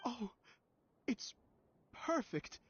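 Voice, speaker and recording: Gentle, slow-talking sentimental director. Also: male voice. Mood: deeply moved. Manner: gentle, heartfelt, theatrical. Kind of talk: video game voice acting. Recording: dull audio with music.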